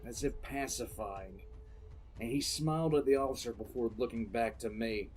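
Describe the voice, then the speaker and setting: A battle-hardened military commissar, played by a man narrating a sci-fi audio drama. His voice sits at a middle pitch. He tells it deliberately, with grim contempt and stubborn defiance. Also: a little mellow, faint music behind, close to an everyday voice. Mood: dry, defiant. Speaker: narrator and lead character.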